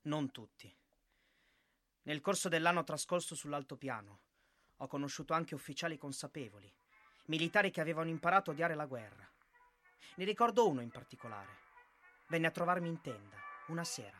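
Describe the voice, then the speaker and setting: A thoughtful soldier in a radio play, looking back on the war. His voice is bright and slightly high, with a confiding storyteller's delivery. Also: expressive, fairly quick, pauses often, faint music behind. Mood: reflective. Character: thoughtful soldier remembering officers who hated war. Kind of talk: dramatic narration in a radio play.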